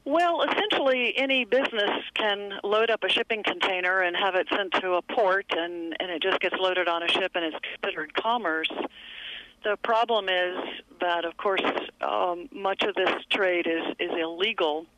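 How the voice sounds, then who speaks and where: A woman speaking as an interview guest, explaining her work in a measured, steady way over the phone. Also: mid-range voice, background noise. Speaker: environmental advocate guest.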